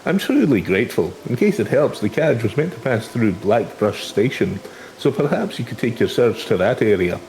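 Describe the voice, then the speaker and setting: A man's voice: mid-range voice, sing-song, moderate pace, darkish tone, a little hiss or hum, rough recording. A man voicing a gracious, enigmatic game minstrel who speaks in formal, archaic phrases. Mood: gracious, measured.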